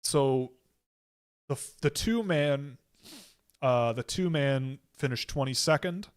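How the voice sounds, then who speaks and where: A young man hosting a livestream, rambling excitably with frequent restarts. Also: medium pitch, takes it slowly, clear, resonant tone. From Canada. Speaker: livestream host. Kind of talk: casual livestream chat.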